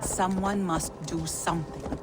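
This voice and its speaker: A woman playing a gentle, reclusive giantess seer in a video game drama, haunted and devoted, speaking in a hushed, halting, dreamy way. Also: lowish voice, steady tone, over loud music, natural voice. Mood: troubled, resolute. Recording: long hall reverb, faint ambience.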